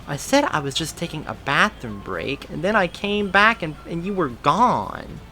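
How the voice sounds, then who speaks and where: A man voicing a lively, affectionate young woman who works as a reporter, raising his voice higher for her. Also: normal speed, slight background noise, full character voice. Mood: excited. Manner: raised, excited pitch.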